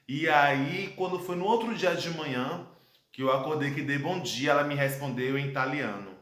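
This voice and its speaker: A man in his thirties from Brazil with a Brazilian Portuguese accent, recounting his life abroad as a personal testimony, earnest and reflective, with dramatic pauses. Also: a little high, fairly bright tone. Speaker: vlogger telling his life story. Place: his home, indoor room. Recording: echoey room.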